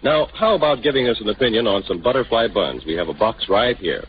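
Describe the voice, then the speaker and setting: An American man announcing and interviewing in the style of a 1930s radio sponsor pitch. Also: expressive, brisk, a little hiss or hum. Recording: tinny band-limited 1930s radio broadcast, music.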